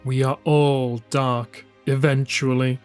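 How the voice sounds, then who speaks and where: A man's voice: mid-range voice, level tone, slow pace, natural voice. A man plays a sinister witch queen in an audio drama. She gloats and taunts smugly, taking her time over the words, with music underneath. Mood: sinister glee. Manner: smug, taunting.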